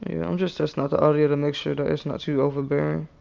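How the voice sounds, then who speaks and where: Gravelly slangy man testing his mic. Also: on the high side, quick pace. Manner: relaxed slangy, self-conscious laughs. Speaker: podcast host. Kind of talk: casual chat testing audio. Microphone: headset mic close to face.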